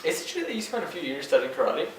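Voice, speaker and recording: A young man plays news reporter in a scripted parody, talking quickly with lively pitch swings. The room is very echoey and he sounds off the mic. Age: young adult. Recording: very echoey room, distant, some noise.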